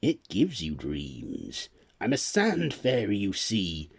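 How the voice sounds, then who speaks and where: A man voicing the Sandman, a dreamy sand fairy who brings children dreams, speaking with soft wonder. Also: sits low, wildly swooping pitch, slow, vocal fry, slightly rough, exaggerated voice.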